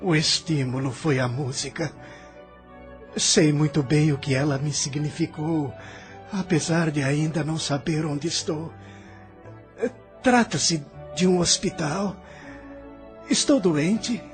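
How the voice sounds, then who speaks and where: Guy playing sick agitated immigrant man, pretty high voice, dramatic audio drama style, bit of music behind. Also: takes it slowly, long pauses. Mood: confused, wistful. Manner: theatrical, impassioned, swinging from faint to outcry. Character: agitated ailing male immigrant, passionate and embittered.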